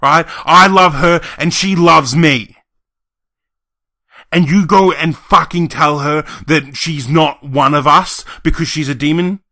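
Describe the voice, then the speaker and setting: Audio roleplay part: male actor as lovestruck young scarecrow, volatile and emotional, tense bright voice, dry close sound. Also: medium pitch, unhurried, no room sound. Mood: furious. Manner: emotional, profane, stammering when cowed.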